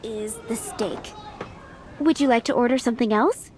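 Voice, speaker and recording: A woman's voice: a little high, animated, moderate pace, a little mellow, a little hiss or hum, lightly acted. An actress playing a polite, apologetic young female waitress in a video game, with a courteous manner.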